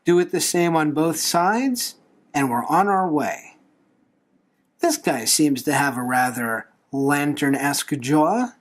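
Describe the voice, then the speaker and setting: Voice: mid-range voice, animated, takes it slowly. A tutorial host talking through a drawing step by step in a playful, encouraging manner. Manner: playful, light humour, encouraging.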